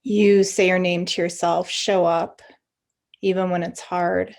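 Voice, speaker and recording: A woman leading a guided meditation as a teacher, giving gentle, encouraging instructions with pauses. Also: on the low side, level tone, gravelly.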